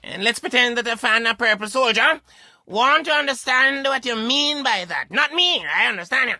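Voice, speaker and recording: A man's voice: extremely high pitch, lively intonation, moderate pace, pushed and bright, no echo, soft background music. A man, asking questions as a talk show co-host. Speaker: radio show co-host, interviewer.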